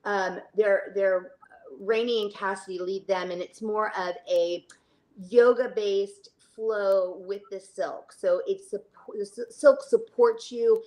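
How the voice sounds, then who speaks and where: A middle-aged woman speaking casually as an interview guest about her work, chatty and halting, often trailing into tangents. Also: mid-range voice, steady tone, a little mellow, poor audio. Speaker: fitness studio owner, interview guest.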